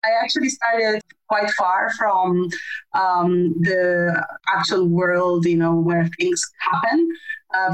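A middle-aged woman from Argentina speaks in a lower register. She thinks aloud as she answers, pausing on fillers and restarting sentences. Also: poor audio. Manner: thoughtful, many fillers and restarts. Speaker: podcast guest, civil society researcher. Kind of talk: conversational interview answer.

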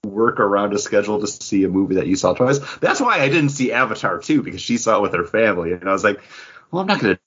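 A man hosting a casual podcast, chatting in an animated, joking way with quick asides. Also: lowish voice, husky, lo-fi sound. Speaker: podcast host.

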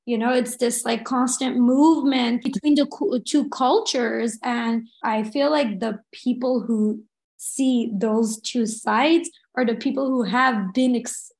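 Soft-spoken young woman. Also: high-pitched. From Los Angeles, United States. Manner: reflective, many "like" and "you know" fillers. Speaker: interview guest. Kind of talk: casual interview conversation.

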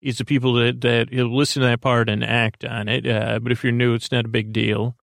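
A man hosts a bedtime podcast in a gravelly, creaky voice. He rambles soothingly and haltingly, drifting into whimsical tangents. The sound is close and dry, with almost no room around it. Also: medium pitch, flat delivery, a bit bright, no echo. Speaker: podcast host.

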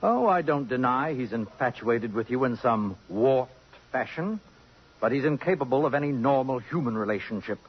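A man's voice: mid-range voice, lively intonation, moderate pace, breathy edge. A male actor playing a jealous, possessive, acid-tongued sophisticate in a 1950s radio drama, pompous and cutting in manner. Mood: disdainful. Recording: dull lo-fi 1950s radio recording.

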